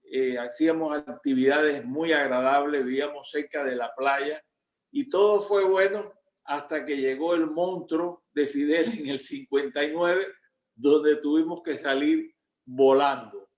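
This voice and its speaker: An older man from Cuba with a Cuban accent, slowly recounting his youth to his grandchildren. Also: fairly high pitch, reverberant. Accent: Cuban Spanish. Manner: slow, reflective storytelling. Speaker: grandparent being interviewed. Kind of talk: recounting memories.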